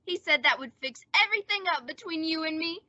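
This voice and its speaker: A woman playing a melodramatic, lovesick, boastful teenage boy in an old-time radio comedy, with drawn-out theatrical delivery. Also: very high voice, expressive, bright, forceful tone, no room sound, full character voice. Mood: eager, confiding. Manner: drawn-out mock-dying groans and boyish bluster. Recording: dull, band-limited dry audio.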